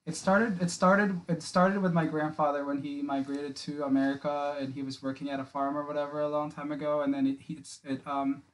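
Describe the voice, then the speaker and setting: Casting note: young man from the Philippines, podcast guest, slightly higher voice, flat delivery, rambling and full of filler. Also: a little fast, few pauses, poor audio. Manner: rambling, many filler likes and restarts. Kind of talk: casual interview chat. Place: small home podcast studio. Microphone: studio mic on boom arm, wearing headphones.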